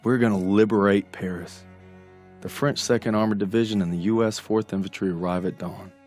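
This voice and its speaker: A man performs a tough American army sergeant giving war game briefings. The voice is deep, gruff and commanding, with an American accent and colloquial turns. Faint music sits underneath. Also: fairly even intonation, fairly quick, a little mellow, natural voice. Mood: resolute, urgent.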